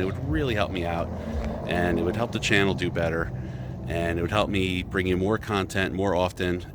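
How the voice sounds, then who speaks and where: A man's voice: sits low, background noise. Stuffy-nosed chatty DIY guy. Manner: rambling, self-deprecating, chatty with fillers. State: stuffy nose. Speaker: DIY video host. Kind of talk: casual how-to vlog.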